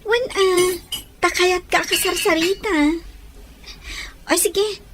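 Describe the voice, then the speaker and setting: Woman acting very high, coy schoolgirl. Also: fairly even intonation, slow, background noise. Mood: friendly, coaxing. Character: sweet, coy schoolgirl, eager and friendly. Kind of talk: radio drama acting in Ilocano.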